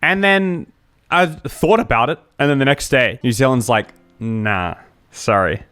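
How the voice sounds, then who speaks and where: A man's voice: medium pitch, measured pace, a bit bright, clear, resonant tone. Casual, jokey Australian man chatting. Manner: jokey, rambling, self-interrupting, often laughing. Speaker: YouTuber and livestream host. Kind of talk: casual chat answering viewer comments.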